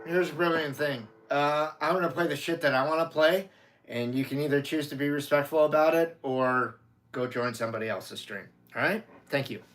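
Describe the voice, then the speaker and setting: A man's voice: fairly high pitch, normal speed, rough recording. A middle-aged American man chatting casually as a live-stream host, blunt and informal in the way he talks, with guitar behind him. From United States. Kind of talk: casual live-stream chat reading viewer comments. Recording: loud guitar music behind the voice. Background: guitar playing.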